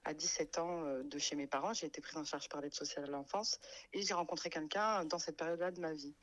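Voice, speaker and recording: A woman's voice: sits low, steady tone, quick pace. A woman in her late thirties from France, telling her life story with many hesitations, fillers and repeated words. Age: 30s. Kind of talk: hesitant personal testimony. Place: radio studio.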